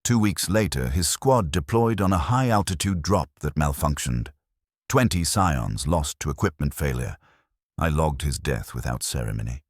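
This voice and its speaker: A man's voice: rumbling low, lively intonation, normal speed, no echo, clean studio sound. A man voicing a hollow, faithless warrior nun, telling her grim life as scripted first-person story narration in detached, solemn, clipped sentences. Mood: bleak, detached.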